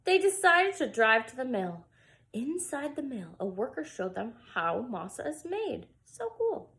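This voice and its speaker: High, animated woman reading to preschoolers. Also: measured pace. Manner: expressive, addresses young children with casual asides. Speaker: preschool storyteller. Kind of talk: children's picture book read-aloud.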